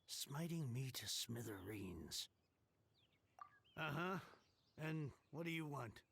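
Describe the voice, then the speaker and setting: An actor playing a grouchy old male book collector in a game, gruff and given to dramatic rants. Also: mid-range voice, lively intonation, slow, fairly bright tone, slight background noise, full character voice. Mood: grumbling. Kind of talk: game voice acting.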